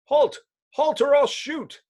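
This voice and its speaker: A man voicing an excitable, frightened city policeman in a comic-book reading. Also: very high voice, takes it slowly, pitched above the performer's own voice. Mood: alarmed.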